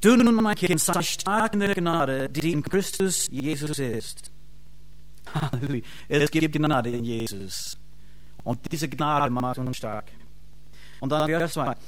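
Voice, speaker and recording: A man with an American accent preaches as a pastor, his voice a touch higher than most men's. He gropes for words, stops and restarts, then breaks into fervent praise. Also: low-quality recording. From United States. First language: English. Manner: halting, searching for words, many fillers and restarts. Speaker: pastor and Bible school teacher. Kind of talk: sermon.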